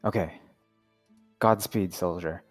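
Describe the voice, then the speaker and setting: A man's voice: low voice, slow pace, faint music behind. A man playing in a casual online tabletop game, talking in a laid-back, chatty way and laughing often. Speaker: player.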